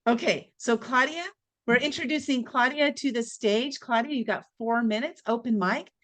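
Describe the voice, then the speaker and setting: A woman hosting an online networking event, brisk, upbeat and chatty as she gives tips and runs the open mic. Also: a little high, fairly bright tone. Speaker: event host. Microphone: video call.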